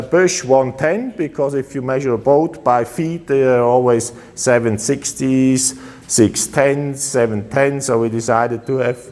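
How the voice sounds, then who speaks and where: A man with a Swiss German accent talks slowly through a casual walkaround of his custom motorcycles. He is relaxed and halting, with many filler sounds, at a mid pitch. The voice carries a long reverb, as in a large hall.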